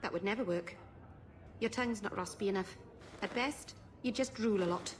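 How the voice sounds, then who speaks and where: A woman's voice: medium pitch, normal speed, slight background noise, close to an everyday voice. Brash, teasing woman, played by an actress. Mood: mocking. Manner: mocking, bawdy. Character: brash, teasing female companion. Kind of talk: video game voice acting.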